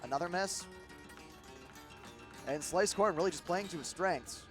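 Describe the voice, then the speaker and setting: A young man with a thick Midwestern accent gives live play-by-play for a rhythm game tournament. His voice sits a little high for a man, and he rambles with fillers and restarts. Loud game guitar music plays under his voice. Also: measured pace. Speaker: tournament commentator. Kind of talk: live esports play-by-play commentary.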